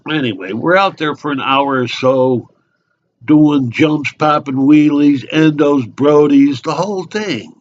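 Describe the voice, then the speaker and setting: A man's voice: on the high side, unhurried, dull audio, voice pushed up, natural voice. A man playing a talkative, oversharing small-town local at a bar in a rambling, eager dramatic monologue. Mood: excited, reminiscing.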